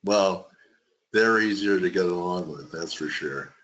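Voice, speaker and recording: A man's voice: low voice, a little slow, fairly bright tone. A man hosting a casual market webinar, chatting in a complimentary, easygoing conversational way. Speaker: webinar host. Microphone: webinar call. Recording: muffled, band-limited webinar audio.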